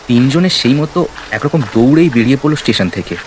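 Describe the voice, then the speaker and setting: A man's voice: medium pitch, expressive, a little fast, a little hiss or hum, low-quality recording. A man reading a scripted horror story as narrator, in storytelling style. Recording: rain and ambience behind the voice. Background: rain sound effects.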